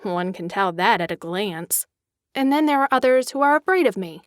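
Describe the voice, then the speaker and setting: An actress playing an elegant young socialite in a dramatized audiobook, refined, expressive and coquettish, discontented yet charming. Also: female voice, medium pitch, normal speed, no echo, studio quality, natural voice. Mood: frank, coaxing.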